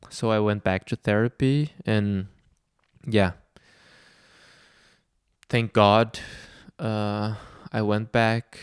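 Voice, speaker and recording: Soft-spoken man, slow and hesitant. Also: fairly low pitch, clean tone, dry recording, clean studio sound. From Brazil. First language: Portuguese. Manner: hesitant, many fillers and restarts. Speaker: vlogger. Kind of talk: reflective personal talk.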